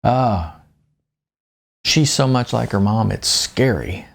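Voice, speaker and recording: A man voicing a likable retired salesman and father in an audiobook, with a folksy, halting, conversational manner. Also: fairly low pitch, expressive, creaky, exaggerated voice. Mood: wistful, musing.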